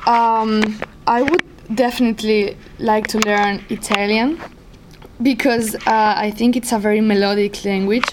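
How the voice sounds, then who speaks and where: A woman's voice: medium pitch, fairly even intonation, unhurried, background noise. Young woman from Spain, Spanish accent, hesitant student interviewee, mid-range voice. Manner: hesitant, with frequent "uh" fillers. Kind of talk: casual interview answers.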